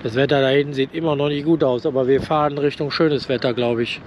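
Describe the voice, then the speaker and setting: A man with a cold, calmly narrating a boat trip outdoors on a headset mic. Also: medium pitch, quick pace, slight background noise. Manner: matter-of-fact, commenting on the route. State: has a cold. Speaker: boat vlogger. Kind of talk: casual narration while boating. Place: outdoors on a motorboat.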